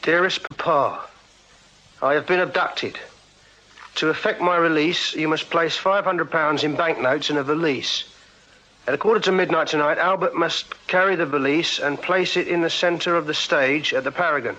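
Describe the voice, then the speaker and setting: Earnest young strongman, higher-pitched voice. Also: moderate pace, bright, forceful tone, dull audio. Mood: sombre reading. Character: plain-spoken young Victorian music-hall strongman, earnest. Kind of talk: full-cast radio drama acting.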